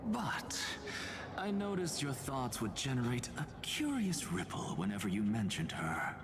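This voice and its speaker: An actress voicing a sly, menacing mind-reader in a video game, teasing and drawing out words, sometimes almost whispering. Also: female voice, very deep voice, very animated, a little slow, background noise, heavily acted. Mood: coolly teasing. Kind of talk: dramatic video game voice acting. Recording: game music behind the voice.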